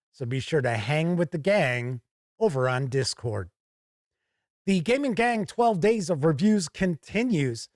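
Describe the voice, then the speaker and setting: A man hosting a game review to camera, presenting in a deliberate, emphatic way from an indoor studio. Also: fairly high pitch, no echo. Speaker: host and board game reviewer.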